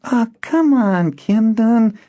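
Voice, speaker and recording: A man voicing an excitable young boy, pitching his voice high and sounding breathless and wide-eyed. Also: takes it slowly, dull audio. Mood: eager. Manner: breathless, wide-eyed, boastful about dragons. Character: eager excitable young boy on lookout duty.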